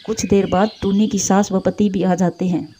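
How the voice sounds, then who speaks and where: A woman's voice: mid-range voice, little pitch movement, moderate pace, mellow, slightly rough, highs cut off. A woman reading scripted narration for a Hindi cartoon story.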